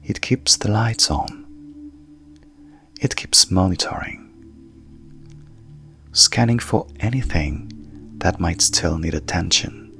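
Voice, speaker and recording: A man speaking as a hypnotherapist in a calm, hushed, soothing manner, softly guiding a sleep meditation with long pauses. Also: sits low, gravelly, soft background music. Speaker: coach and hypnotherapist guiding a session.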